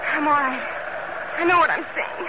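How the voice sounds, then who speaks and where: Gasping, very high-voiced dying castaway. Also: male voice, steady tone, normal speed, over a noisy crowd. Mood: weak, pleading. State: weak, gasping. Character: dying shipwreck survivor, delirious, desperate, frightened. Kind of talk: 1940s radio adventure acting. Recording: muffled old radio recording, heavy storm noise.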